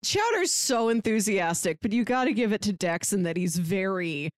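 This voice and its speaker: Woman, lively swooping pitch, casual podcast co-host, expressive retelling, acted-out quotes, dry sound. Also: moderate pace, no echo. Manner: animated retelling, acts out quotes. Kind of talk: casual chat.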